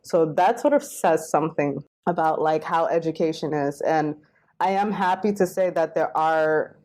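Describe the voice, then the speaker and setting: A woman from Queens, New York, talking as a podcast guest and linguistic anthropologist, explaining at length in a fluent, relaxed way with many fillers. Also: deep voice, moderate pace. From Queens, New York, United States. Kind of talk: casual interview.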